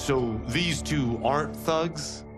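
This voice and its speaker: A man's voice: a little high, slow pace, music in the background. A man playing a brash, questioning young martial arts fighter in a video game. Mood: incredulous. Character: cocky, incredulous young male fighter. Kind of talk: video game cutscene acting.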